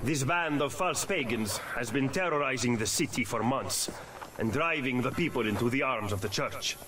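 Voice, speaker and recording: An actor as a grave Italian conspirator over music. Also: male voice, mid-range voice, animated, normal speed, natural voice. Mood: grave, urgent. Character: grave, frustrated Renaissance Italian male conspirator. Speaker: video game character actor. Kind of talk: dramatic game cutscene acting. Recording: game audio with music behind.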